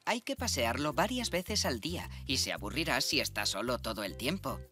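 A woman voicing a firm, practical parent zebra in a children's cartoon dub, reasoning gently. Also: rumbling low, sing-song, normal speed, exaggerated voice. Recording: music behind the voice.

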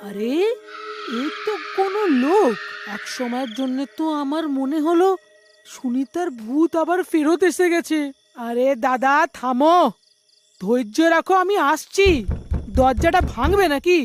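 A man's voice: extremely high pitch, lively intonation, a little slow, soft background music. A man voicing a cowardly, callous village villain in a cartoon, grumbling, whining and exclaiming.

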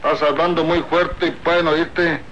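Guy acting gravelly lecherous mexican bandit in old radio soap, pushy and bright, muffled noisy tape. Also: a little high, little pitch movement, moderate pace, close to an everyday voice. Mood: menacing. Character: brutish lecherous rural bandit gunman, threatening and flirtatious. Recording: dull, noisy old radio serial recording.

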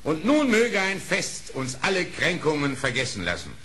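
A man's voice: on the high side, big pitch swings, moderate pace. An actor playing a dignified lion king in an audio play, speaking in a formal, declamatory way. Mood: solemn, gracious. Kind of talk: German audio play acting.

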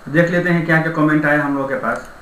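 A man's voice: a little high, quick pace, a bit bright. A young man giving an informal update to online viewers as the presenter. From India. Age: young adult. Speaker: YouTube exam-update presenter. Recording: roomy echo with faint background noise.

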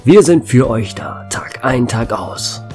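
An actor playing a chatty, joking small-town radio DJ in a radio drama. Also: male voice, medium pitch, expressive, slow, a little mellow, soft background music. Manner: chatty, jokey. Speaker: radio host.

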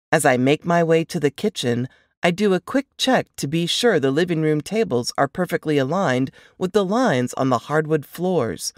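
A woman reading a first-person novel as scripted narration, in a dramatic, expressive manner. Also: low voice, no room sound, clean studio sound.

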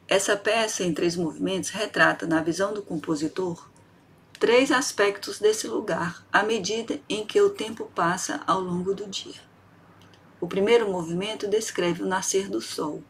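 A woman with a Brazilian Portuguese accent, a piano professor reading an academic lecture in a measured, precise way. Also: medium pitch, slight background noise.

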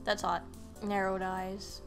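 A woman's voice: medium pitch, level tone, takes it slowly, fairly bright tone, soft background music. A young woman co-hosting a gaming show, chatting casually with blunt, wry jokes. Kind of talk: casual joking let's-play commentary.

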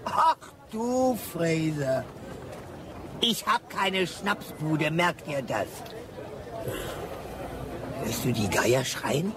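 A man's voice: on the high side, animated, drawn-out words, pauses often, noisy background. An actor playing a superstitious male street vendor of magic charms, theatrical, in a German radio drama. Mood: grumbling, ominous. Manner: hawking, theatrical.

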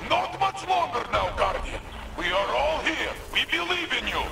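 A grand, hearty robot warrior from a video game, speaks with heavy gravel and hugely swinging pitch. The emphatic, earnest voice sounds roomy, with music behind. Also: male voice, big pitch swings, moderate pace, distant mic, full character voice. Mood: encouraging. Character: hearty, exuberant, devoted old male robot warrior.

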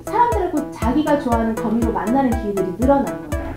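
A woman in her forties, a ceramics teacher, calmly explaining her students' progress in an interview. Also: a little high, very fast, echoey room, over music. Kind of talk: documentary interview. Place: pottery studio, indoors.

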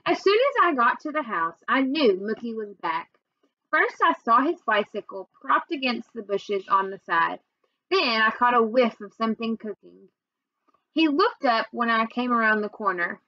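A woman's voice: on the high side, very animated, moderate pace, bright, forceful tone, muffled recording. A young adult woman narrating a children's book as a read-aloud, recorded indoors on a webcam. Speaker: reader. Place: small room at home, indoors.

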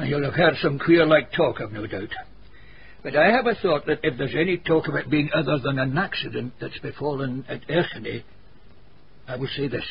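An actor playing a venerable, learned Scottish cobbler in a radio drama, telling gossipy tales in a wordy, formal dialect. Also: male voice, mid-range voice, lightly acted. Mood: grave, formal. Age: older. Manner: wordy, dialect-rich, pedantic storytelling. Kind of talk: radio drama dialogue, storytelling. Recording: muffled radio broadcast, background hiss.

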